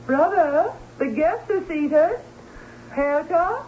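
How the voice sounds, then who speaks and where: An actress playing a fretful, high-strung aunt, fluttery and overwrought, in an old-time radio drama. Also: female voice, animated, slow pace. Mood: excited, relieved. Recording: muffled, noisy old radio recording.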